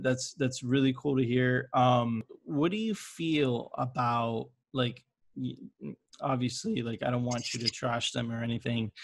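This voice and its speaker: A man's voice: mid-range voice, fairly bright tone. Young guy doing a podcast interview, super informal, slangy, close mic. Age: young adult. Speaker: podcast host. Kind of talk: casual interview chat. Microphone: close desktop microphone with headset.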